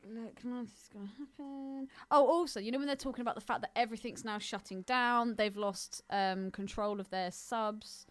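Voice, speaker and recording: A woman's voice: fairly high pitch, fairly even intonation, moderate pace, hardly any pauses, a bit bright. A woman co-hosting a podcast, chatting casually and bluntly, often questioning what she hears. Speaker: podcast co-host. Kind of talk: casual unscripted film podcast chat.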